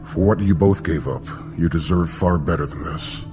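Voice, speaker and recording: Casting: male actor as deep-voiced official, formal and compassionate, classic sci-fi TV drama over music. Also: close to an everyday voice. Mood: compassionate regret. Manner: measured, grave, formal. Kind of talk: mid-century television drama acting. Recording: vintage soundtrack with loud music behind.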